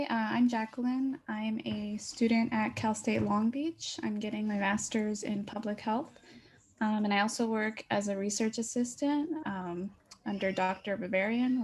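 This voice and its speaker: A woman's voice: medium pitch, darkish tone. A woman who is a public health student, introducing herself in a straightforward way. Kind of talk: self-introduction.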